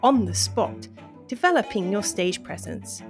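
A woman's voice: medium pitch, big pitch swings, a little slow, gravelly, soft background music. A woman lecturer who reads a passage aloud, then answers interview questions in a thoughtful, hesitant way with many fillers and restarts. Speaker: university lecturer, interview guest.

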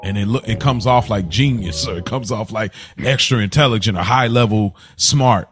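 A man's voice: lowish voice, moderate pace, fairly bright tone. A man co-hosting a podcast, explaining his views in casual conversation with emphatic, repeated phrasing. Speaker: podcast co-host. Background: background music.

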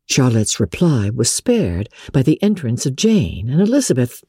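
A woman with a husky voice reading classic literature as a measured, expressive dramatic narrator. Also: very deep voice, no echo, clean studio sound. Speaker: audiobook narrator.

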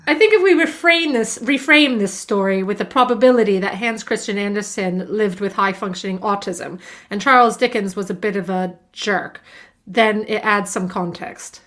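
A woman's voice: mid-range voice, normal speed, a bit bright. A woman co-hosting a podcast in casual chat, delivering facts with dry, teasing humor. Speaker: podcast co-host.

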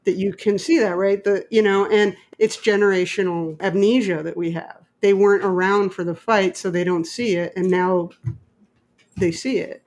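A woman's voice: medium pitch, steady tone, moderate pace, a little mellow. A middle-aged woman with an American accent, talking conversationally and emphatically, telling stories and giving opinions. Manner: emphatic, opinionated, quotes others in dialogue. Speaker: independent filmmaker and interviewee. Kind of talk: animated conversational storytelling.

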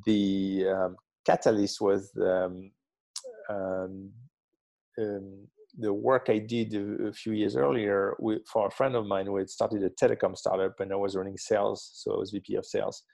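A man's voice: on the low side, little pitch movement, moderate pace, creaky, a little mellow, no echo. A man in his fifties from the south of France with a French accent, speaking as a guest in an interview, hesitant with many ums and restarts. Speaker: guest, tech company founder. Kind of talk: interview conversation.

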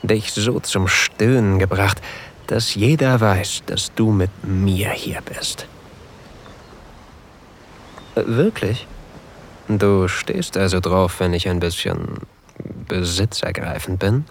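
Male lover role for intimate ASMR drama: unhurried, creaky murmur sliding into whispers, possessive, faint sea ambience behind. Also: sits low, slight background noise. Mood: jealous, teasing. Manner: breathy murmuring, often dropping to a whisper. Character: possessive, seductive new lover, adult man, on a sailboat. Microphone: close microphone.

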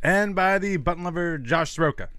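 A man's voice: high voice, fairly quick, bright, forceful tone, dry recording, clean studio sound. A man hosting a casual sports talk show, with a stutter in his speech. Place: studio.

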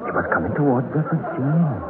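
An actor playing a Welsh coal miner recounting a ghostly disaster in a 1960s radio horror drama. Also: male voice, medium pitch, expressive, fast talker, reverberant, very noisy, lightly acted. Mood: haunted, recounting. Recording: lo-fi old radio, loud music behind.

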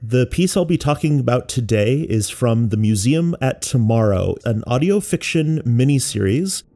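An American man explaining creative work in a measured, reflective narration, as a sound artist presenting their own work. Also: medium pitch, lively intonation, mellow. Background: music.

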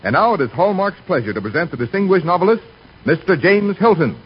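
A man's voice: sits high, lively intonation, fast talker, breathy edge. A man announcing on 1940s radio, reading show introductions and sponsor copy in a polished, declarative style. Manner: polished, declarative scripted delivery. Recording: dull 1940s radio broadcast. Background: music.

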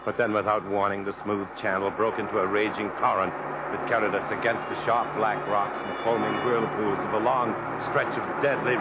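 A muffled, noisy old radio drama carries an actor playing a resolute man stranded in the wilds. His voice is on the low side, and he speaks with earnest urgency and a protective firmness, in the dramatic manner of fifties radio. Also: lightly acted. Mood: grave narration. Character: determined, protective English adventurer stranded in the jungle. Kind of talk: 1950s American radio drama acting, with first-person narration.

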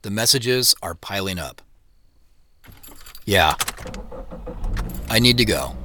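A man's voice: lowish voice, animated, slow pace, bright, forceful tone, a little hiss or hum, heavily acted. A man playing a conflicted, career-driven man in an audio drama, narrating his own thoughts in an earnest, reflective way. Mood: wistful, reflective. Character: Joseph, a conflicted, career-driven man holding back emotion. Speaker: narrator and lead actor. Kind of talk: introspective first-person audio drama narration.

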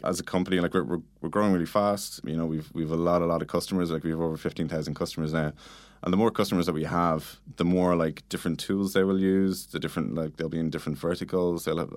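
A man's voice: deep voice, dry recording. A man being interviewed, explaining things casually and informally with lots of "like" and "you know". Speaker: interview guest, product manager. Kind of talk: casual conversational interview answers.

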